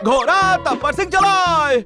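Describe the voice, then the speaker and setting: Comic bandit boss for a cartoon: very high-pitched, bossy, bombastic, clear music behind. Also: moderate pace.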